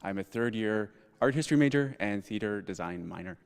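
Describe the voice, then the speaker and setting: A young man from western Canada answering casually as a student panelist, often pausing mid-phrase. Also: lowish voice, expressive, clean tone. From Banff, Alberta, Canada. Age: young adult. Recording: echoey hall reverb.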